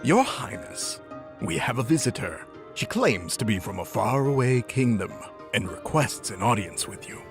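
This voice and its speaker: Male actor as elderly two-faced god, bickering courtier, hugely varied pitch, music underneath. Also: very animated, normal speed. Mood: formal. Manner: fussy, testy, quarrelsome. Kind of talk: audio drama acting.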